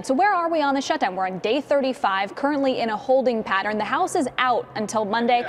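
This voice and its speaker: A woman's voice: fairly high pitch, a little hiss or hum. An American woman reporting live as a television news correspondent.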